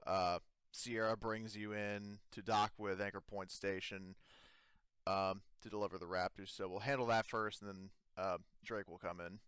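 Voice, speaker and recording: A man with a fairly low, smooth voice narrates a tabletop roleplaying session as game master. His delivery is casual and conversational, and the sound is close and dry. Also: fairly even intonation, moderate pace, a bit bright, no room sound.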